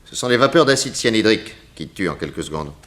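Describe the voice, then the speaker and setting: An actor playing a self-assured, jovial private detective in a French radio drama, inquisitive and wry in a conversational way. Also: male voice, lowish voice, sing-song, fast, a bit bright. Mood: probing, wry.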